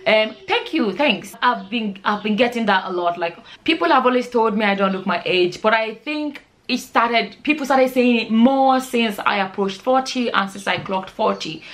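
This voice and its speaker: A woman's voice: a little high, animated, normal speed, hardly any pauses. A woman of forty from Nigeria chatting informally as a vlogger, often repeating words and phrases for emphasis. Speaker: vlogger, channel host. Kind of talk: casual chatty vlog answering viewer questions.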